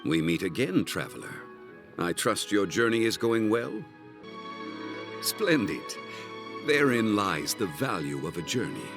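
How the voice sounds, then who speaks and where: A composed, courteous scholar-gentleman with a low voice. His formal, measured phrases rise and fall expressively, as in an anime game, with music underneath. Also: sing-song. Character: composed, erudite gentleman consultant, gravely courteous. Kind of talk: anime video game voice acting.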